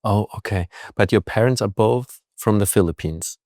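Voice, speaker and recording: A man's voice: on the low side, moderate pace, darkish tone, no room sound, studio quality. A man hosting an interview podcast, asking questions with a playful, teasing manner. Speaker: podcast host.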